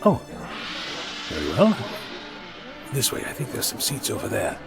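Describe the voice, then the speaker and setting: A man's voice: on the low side, huge pitch swings, unhurried, creaky, loud music behind the voice, heavily acted. A man playing a curious, courteous, analytical traveller in an audio drama. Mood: polite, tentative. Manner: inquisitive, courteous.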